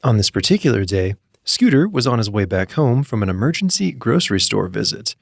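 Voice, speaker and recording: A man narrating a scripted comic story in an animated, wry way. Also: fairly low pitch, fairly quick, gravelly, breathy edge, no echo. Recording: music behind the voice. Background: background music.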